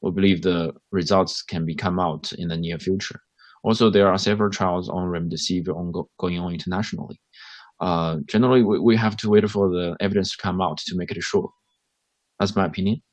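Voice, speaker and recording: A man's voice: low voice, steady tone, brisk, dry recording. A man with a Chinese accent, a hospital doctor, gives his views in a panel discussion with many fillers and restarts. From China.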